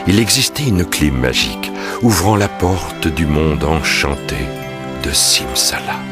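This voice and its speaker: A man's voice: rumbling low, animated, unhurried. A man narrating a fairy tale introduction in a scripted storytelling style. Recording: loud music under the narration.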